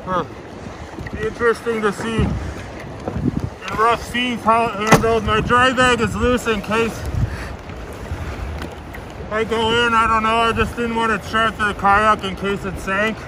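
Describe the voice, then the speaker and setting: Male kayak angler, high-pitched, halting and musing, casual, recorded outdoors on a lake with clear wind and water noise. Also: level tone. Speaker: kayak angler practicing self-rescue. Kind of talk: casual chat. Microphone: camera on a nearby kayak.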